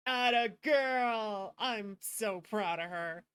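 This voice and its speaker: A woman's voice: medium pitch, slow pace, fairly bright tone, clean tone, dry recording. A woman streamer chatting casually and reacting expressively as she plays a game. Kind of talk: casual game livestream chat. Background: game music.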